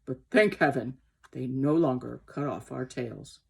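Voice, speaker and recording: A woman voicing a gentle but indignant old male horse in a storybook, speaking in an emphatic, aggrieved and dramatic way. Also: a bass voice, unhurried, creaky, slightly rough, exaggerated voice.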